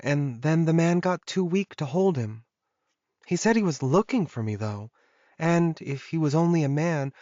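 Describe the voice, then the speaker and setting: A male narrator plays a young woman in a paranormal romance audiobook. The pitch is lifted well above his own. She sounds curious, uneasy and quick to apologize, and the recording is close and dry. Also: darkish tone, dry recording, lo-fi sound, close to an everyday voice.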